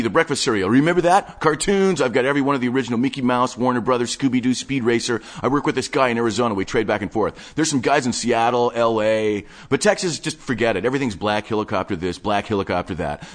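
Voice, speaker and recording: A man voicing a manic, obsessive male tape collector who talks in rapid, excitable, rambling bursts. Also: medium pitch, pitched above the performer's own voice, natural voice. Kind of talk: audiobook character voice.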